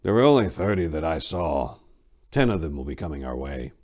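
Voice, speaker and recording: A battle-hardened fantasy warrior monk voiced by a male narrator speaks from a low register. He is grim and terse, giving blunt, matter-of-fact answers as in a dramatic audiobook. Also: big pitch swings, a little fast, a little mellow, exaggerated voice.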